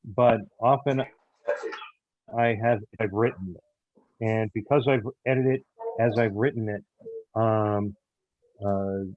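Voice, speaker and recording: Hesitant low-voiced man, phone-like sound. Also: no room sound. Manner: halting, hesitant, repeats words. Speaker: audio drama writer, interview guest. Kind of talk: unscripted interview answers. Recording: tinny phone-like call audio.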